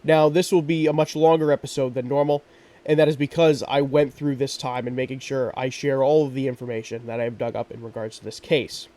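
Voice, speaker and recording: Male true crime podcast host with a congested, stuffy voice; earnest, halting delivery. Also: mid-range voice, steady tone, mellow, recorded from a distance. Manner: earnest, with frequent ums and restarts.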